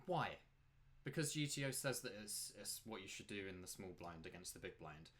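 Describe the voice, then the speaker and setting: A man's voice: sits low, animated, moderate pace, fairly bright tone. A man giving casual, rambling live commentary as he plays poker, emphatically repeating points and rhetorical questions. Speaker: poker player and coach commentating his own play.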